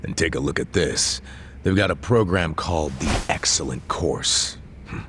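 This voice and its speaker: A very deep, world-weary man. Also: moderate pace, a bit bright, slight background noise. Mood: wry. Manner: blunt, grave, explanatory.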